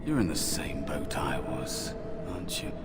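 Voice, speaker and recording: An actor playing a wary, bitter spirit of a dead apprentice in a fantasy video game, speaking in a hushed, deliberate, weary way. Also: male voice, rumbling low, loud music behind the voice. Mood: wry, weary. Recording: long reverb, loud background noise.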